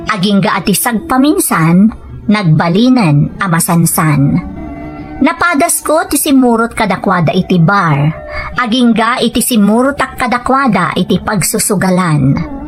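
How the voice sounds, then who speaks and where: A woman playing a soft-spoken, coaxing wife with a gambling vice in an Ilocano radio drama, in measured, confiding phrases. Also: on the high side. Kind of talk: Ilocano radio drama acting and first-person narration.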